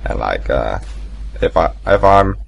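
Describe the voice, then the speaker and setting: A young man giving casual gameplay commentary, rambling and swearing, with a deep, gravelly morning voice. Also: very animated, unhurried, highs cut off. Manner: rambling, swearing, laughing at himself. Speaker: gaming commentator.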